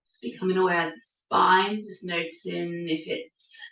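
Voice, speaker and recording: A woman teaching yoga and guided meditation in a gentle, soothing, unhurried manner with pauses. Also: on the low side, steady tone, vocal fry. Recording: echoey room, distant microphone.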